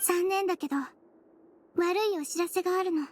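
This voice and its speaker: Tiny, very high-voiced fairy-like nurse. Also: female voice, normal speed, a little hiss or hum. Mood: regretful. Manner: gentle, matter-of-fact, cute. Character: small, composed, kindly female nonhuman head nurse. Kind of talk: Japanese anime-style game voice acting.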